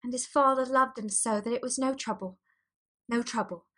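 High-voiced gentle, sorrowful mother, played by a woman. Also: normal speed. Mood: wistful. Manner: soft-hearted, bravely steady. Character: gentle sorrowful mother keeping a brave face. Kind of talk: dramatised audiobook character voice.

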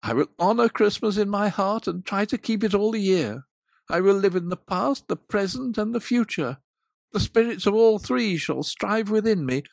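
A woman voicing a frightened old miser who pleads loudly and urgently, in a dry, close recording. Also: fairly low pitch, lively intonation, unhurried, no room sound. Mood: beseeching. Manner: loud, urgent, beseeching.

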